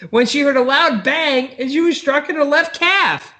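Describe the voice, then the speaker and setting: A man's voice: extremely high pitch, moderate pace, sharp tone. A man co-hosting a rowdy comedy podcast, reading an odd news story and tossing in wisecracks. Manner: reads news items with wisecracks and chuckles. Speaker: co-host. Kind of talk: boozy live comedy podcast banter.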